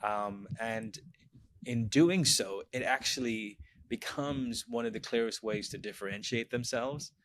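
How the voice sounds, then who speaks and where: A man in his forties from Sri Lanka, a guest speaking from home in a measured way, often saying "you know". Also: mid-range voice, fairly bright tone, a little hiss or hum. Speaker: guest, brand consultant. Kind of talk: casual interview chat.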